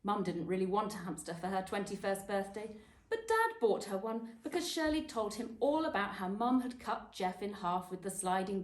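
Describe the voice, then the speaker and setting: Female storyteller, medium pitch, expressive children's book read with dramatic stresses and pauses, roomy echoing sound. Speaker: storyteller reading aloud. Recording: echoey room.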